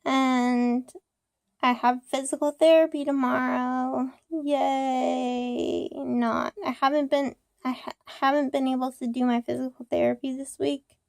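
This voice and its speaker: Young female vlogger, hoarse with a cold, slow and rambling, dry close sound. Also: high-pitched, little pitch movement, darkish tone, dry recording. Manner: rambling, repetitive, many ums and restarts. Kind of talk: casual personal vlog.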